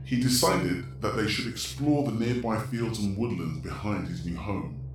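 A man's voice: fairly low pitch, normal speed, music in the background, close to an everyday voice. A man acting a solemn, mock-ominous storyteller, giving dramatic scripted narration for an audio drama. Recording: very echoey, distant, noisy ambience.

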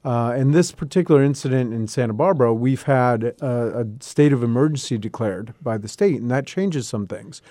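Lawyer guy from boston on a radio show, mellow dark voice, explains things slowly and carefully. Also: mid-range voice, dry recording, clean studio sound. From Boston area, United States. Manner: measured, explanatory. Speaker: guest, real estate attorney.

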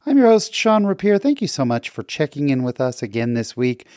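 A man hosts a podcast interview in a friendly, upbeat way, often filling pauses with "uh". His voice sits at an ordinary pitch for a man. The sound is dry and close. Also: big pitch swings, darkish tone, dry recording. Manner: upbeat and friendly, with frequent fillers. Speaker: podcast host.

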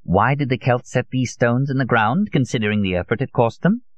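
A man performs an elderly, fervent storybook crank who lectures emphatically. The voice is pitched well up and leaps about in animated swoops. The recording is dull and muffled. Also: brisk, no echo, lightly acted. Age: older. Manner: emphatic, rhetorical, rambling monologue. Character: elderly Russian male conspiracy theorist, fervent, nostalgic taxidermist. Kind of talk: dramatised audiobook character.

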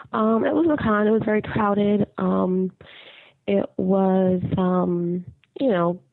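A woman leaving a casual, rambling fan voicemail, hesitant with many ums, raspy from a cold. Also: fairly low pitch, no echo. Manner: hesitant, frequent ums and pauses. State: raspy from getting over a cold. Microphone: phone. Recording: muffled phone voicemail, narrow band.

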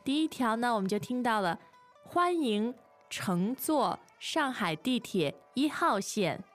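A woman's voice: fairly high pitch, big pitch swings, unhurried, faint music behind. A woman co-hosting a language lesson in a conversational teaching style, often agreeing and repeating key phrases.

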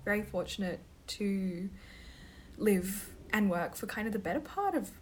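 A woman's voice: mid-range voice, animated, measured pace. A woman poet chatting casually and reflectively about her practice, using academic vocabulary. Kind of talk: casual conversational discussion. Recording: clear background noise, ambient sound.

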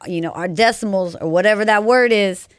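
A woman DJ from Los Angeles talks casually and bluntly on a podcast, swearing freely and filling her speech with "like". Her voice sits at a middle pitch for a woman. The recording is close and dry, with no room echo. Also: fairly quick, clean tone. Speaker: DJ, podcast guest. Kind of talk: casual interview chat.

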